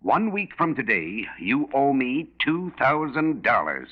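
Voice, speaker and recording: A stern, hard-nosed moneylender in a 1940s radio drama. His scolding is sarcastic and emphatic, with big swings in pitch, caught on a dull vintage recording. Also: big pitch swings, a little slow. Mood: scolding, scornful.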